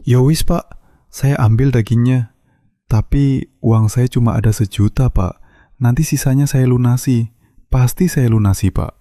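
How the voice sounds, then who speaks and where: A man plays a polite, eager satay seller. His voice sits mid-pitch with a mellow, darker tone, and the recording is close and dry. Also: measured pace, pauses often, no echo, natural voice. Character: humble middle-aged male satay seller, polite, desperate. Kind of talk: animated cartoon voice acting.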